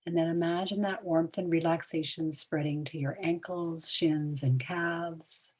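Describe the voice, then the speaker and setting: A woman guides a relaxation meditation in a low, breathy voice. She speaks very slowly in short, soothing phrases with long pauses, often softening toward a whisper at the ends. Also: steady tone.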